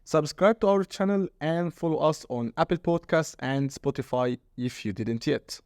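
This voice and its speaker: A man's voice: fairly high pitch, normal speed, darkish tone, no echo, clean studio sound. Egyptian-accented man tutoring dialect. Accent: Egyptian Arabic. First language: Arabic. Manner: explains step by step, asks guiding questions. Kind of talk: casual language lesson.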